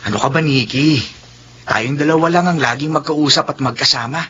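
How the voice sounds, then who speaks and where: A man's voice: a little high, fairly quick, fairly bright tone, husky. A man playing a flustered, joking young best friend in a radio drama, with a Filipino accent. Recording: muffled audio with faint noise.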